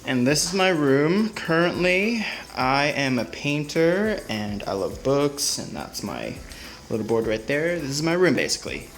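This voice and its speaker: A man's voice: fairly high pitch, very animated, measured pace, a bit bright, slight background noise. A young man from Hong Kong chatting playfully while he cooks in his small apartment. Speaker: vlogger, home cook. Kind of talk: casual cooking vlog chat. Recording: echoey room with music behind.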